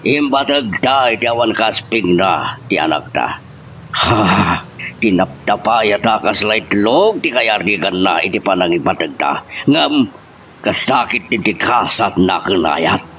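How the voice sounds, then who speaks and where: A man's voice: on the low side, lively intonation, unhurried, a bit bright. A man with an Ilocano accent playing an indignant, stubborn older husband and father in a family drama. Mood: bitter. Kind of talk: radio-style Ilocano family love drama acting. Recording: clear background noise.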